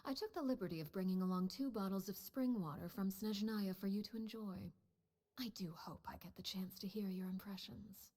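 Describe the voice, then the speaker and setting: An actress playing a composed, calculating female leader who speaks in smooth, measured words with veiled threats. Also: medium pitch, darkish tone, close to an everyday voice. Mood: cool.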